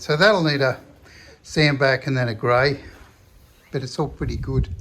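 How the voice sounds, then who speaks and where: An Australian man with a croaky voice talking casually about his restoration work, rambling and chatty with a self-deprecating humour. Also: mid-range voice, slight background noise. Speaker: car restoration vlogger. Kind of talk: casual workshop commentary. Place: home shed.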